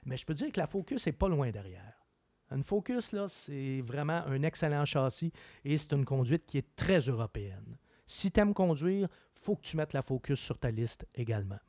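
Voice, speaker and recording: A man with a Québécois French accent, a car expert chatting on a radio show in an opinionated, animated way. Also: mid-range voice, normal speed, no echo. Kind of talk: casual chat.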